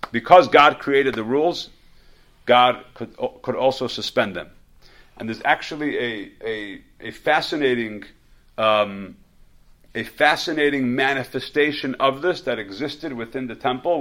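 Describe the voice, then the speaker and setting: Give me a male teacher lecturing a study group, halting and restarting his phrases. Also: mid-range voice, a bit bright. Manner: halting, repeats words and restarts often. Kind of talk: informal religious lecture with questions.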